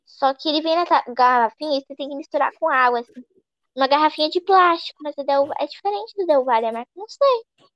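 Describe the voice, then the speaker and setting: A woman's voice: sits high, quick pace, dry recording. A young woman in casual podcast chat, talking in a chatty, rambling way. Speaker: podcast guest. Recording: dry, dull lo-fi recording.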